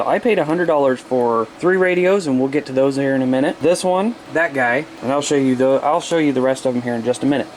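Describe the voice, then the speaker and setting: Male hobby vlogger, slightly high voice, folksy and informal, faint room hum behind. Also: darkish tone, rough recording. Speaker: hobbyist showing his radio gear. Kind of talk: casual show-and-tell vlog.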